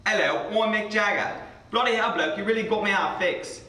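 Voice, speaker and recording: A man's voice: high-pitched, fairly quick, sharp tone. A man playing a brash rock star singer. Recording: noisy echoey room, distant mic.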